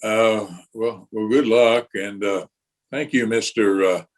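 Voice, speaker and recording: A man playing a troubled, sheepish married engineer in a radio detective drama, speaking in a hesitant, measured way. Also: on the low side, fairly even intonation. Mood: worried, hesitant. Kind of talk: old-time radio drama re-creation.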